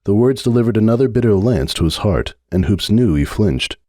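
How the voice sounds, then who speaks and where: Deep, dark-voiced male narrator. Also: expressive, no room sound, studio quality. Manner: measured, brooding storytelling. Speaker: audiobook narrator. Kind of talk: dramatic reading of a fan story.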